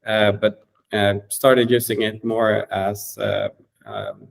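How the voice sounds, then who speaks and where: A man's voice: on the low side, fairly even intonation, slow, vocal fry. A man speaking as a guest on a webinar, answering questions with lots of fillers and hesitation. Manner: hesitant, many fillers, restarts. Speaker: CEO guest. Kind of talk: casual webinar interview.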